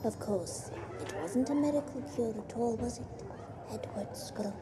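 A woman's voice: a little high, normal speed, over a noisy crowd, natural voice. A woman playing a knowing, mocking spirit guide in an audio drama, teasing and pointed.

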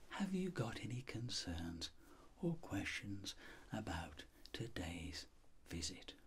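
A middle-aged British man doing an ASMR clinic roleplay, soft, breathy and whispery, with gentle, polite, measured instructions. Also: lowish voice, very animated. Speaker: ASMR creator role-playing a clinic doctor.

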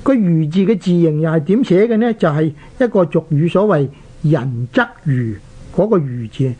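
A radio host with a Hong Kong Cantonese accent, explaining written characters in a deliberate, didactic way. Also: medium pitch, lively intonation, warm tone, breathy edge. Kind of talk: explanatory talk on Chinese characters. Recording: dull lo-fi radio recording.

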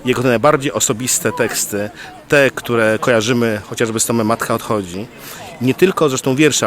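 A man in his forties reflecting on reading poetry, thoughtful and sometimes searching for words. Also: mid-range voice. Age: 40s. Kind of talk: personal reflection.

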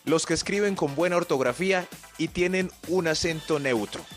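A man's voice: a little high, moderate pace, faint music behind. A man hosting a comic radio segment, teasing and playful as he banters with his co-hosts. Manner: playful, teasing, animated delivery. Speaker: radio host.

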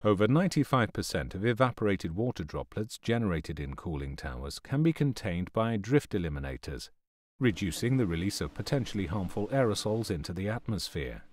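A man reading scripted narration for a training film in a measured, instructional manner. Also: fairly low pitch, big pitch swings, no echo.

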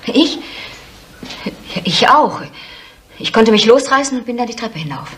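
An actress playing the guarded eldest daughter of a brutal innkeeper in a German radio crime drama. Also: female voice, a little high, expressive, measured pace. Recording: faintly noisy 1970s radio play.

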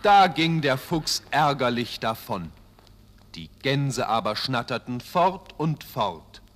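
A man narrating a children's fairy tale in an expressive scripted style, with deliberate, dramatic phrasing. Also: mid-range voice.

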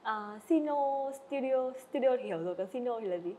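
A woman hosts a podcast interview, asking questions and murmuring agreement attentively. Her voice is somewhat high. Faint background hiss sits under her words. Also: expressive, moderate pace, dark tone. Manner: attentive, frequent back-channel agreement. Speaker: podcast host. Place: studio set, indoors.

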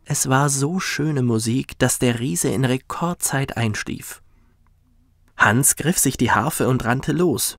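A man gently and expressively narrating a scripted bedtime story for children, as a professional reader. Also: mid-range voice, takes it slowly, dry recording, clean studio sound.

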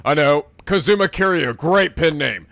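Excitable man doing game commentary. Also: fairly high pitch, normal speed, gravelly, no echo. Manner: excitable, laughs a lot. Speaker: let's play host. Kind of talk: gaming commentary.

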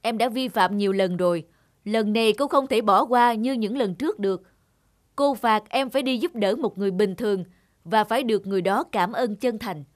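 A woman's voice: medium pitch, fairly quick, natural voice. A woman playing a stern, admonishing witch teacher in a children's cartoon. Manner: firm, admonishing. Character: stern adult witch teacher, disappointed but caring. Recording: music under the voice.

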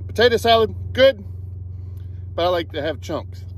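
Trucker guy in his 40s, high voice, plain talk between bites, phone at arm's length in the sleeper cab. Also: expressive, normal speed. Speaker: truck driver vlogger. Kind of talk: casual food review vlog. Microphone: phone camera at arm's length.

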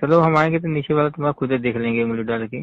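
A man's voice: medium pitch, racing, dry recording. A man on a phone call, talking casually and insistently, often pressing with repeated questions. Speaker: phone caller. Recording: tinny phone-line call recording.

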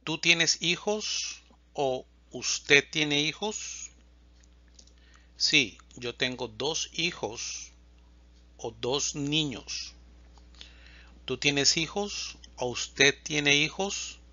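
A man teaching a Spanish lesson, reading example questions and answers slowly and distinctly. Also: fairly high pitch, expressive, sharp tone, no room sound, muffled recording. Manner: reads questions and answers slowly and distinctly, pausing between lines. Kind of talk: slow, clear language lesson reading example dialogues.

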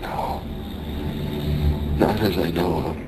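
An actor playing a dying American sailor in a radio drama, weak and pained, speaking haltingly and wistfully. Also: male voice, sits low. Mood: weak, tender. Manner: halting, labored, brooding delivery. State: weak, in pain. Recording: faint noise behind a radio play.

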